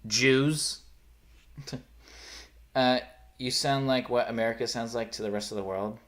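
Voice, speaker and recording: A man's voice: medium pitch, fairly even intonation, a little slow, fairly bright tone. A young man with a faint rural Pennsylvania accent, chatting casually on a live stream, often trailing off and restarting his sentences. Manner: rambling, self-correcting, trails off.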